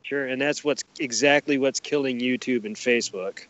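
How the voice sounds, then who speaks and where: A man's voice: medium pitch, normal speed, fairly bright tone, dry recording, muffled recording. A man chatting casually as a podcast guest, easygoing and a bit tentative. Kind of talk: casual interview chat.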